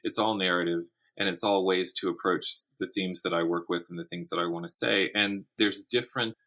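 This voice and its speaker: Middle-aged male guest, low and bright, casual, thoughtful conversationalist. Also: fairly even intonation, brisk. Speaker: guest, writer and college teacher.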